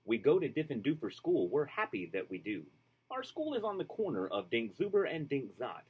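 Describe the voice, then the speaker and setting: A man reading a children's storybook aloud, expressive and rhythmic, with casual asides. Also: mid-range voice, normal speed, breathy edge, narrow, tinny audio. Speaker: storybook reader.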